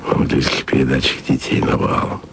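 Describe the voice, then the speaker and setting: An actor playing a wistful radio archivist who speaks softly and intimately to his beloved in a radio play. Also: a little fast, a little hiss or hum, lightly acted. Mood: tender. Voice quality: low, gravelly voice. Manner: soft, intimate, sometimes whispered. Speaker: radio man speaking to his distant lover.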